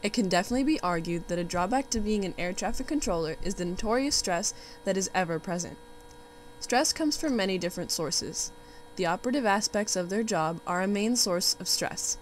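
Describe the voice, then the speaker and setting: A young woman, a student narrator, formally reading out facts from a documentary script. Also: mid-range voice. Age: young adult. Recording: faint background hum and noise.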